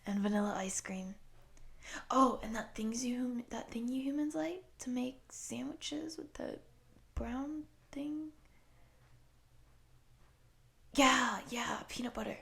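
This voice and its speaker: Woman voicing a goofy, chatty, creaky-voiced young slime girl. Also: on the high side, measured pace, a bit bright. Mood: enthusiastic, carefree. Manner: casual slangy chatter with giggles. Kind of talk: roleplay audio aimed at the listener.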